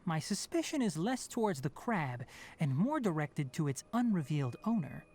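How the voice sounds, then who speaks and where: An actor playing an earnest young male forest ranger and scholar in a video game, speaking in a formal, measured, articulate way with gentle sternness. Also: a little high, sing-song, a little hiss or hum. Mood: serious, explaining. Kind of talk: scripted video game voice acting.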